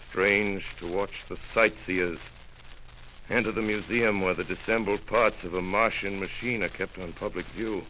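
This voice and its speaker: An actor playing a solemn middle-aged astronomer who survived the invasion and writes his account, narrating in a grave, halting 1930s radio drama style. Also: low voice, bright, forceful tone, a little hiss or hum, poor audio.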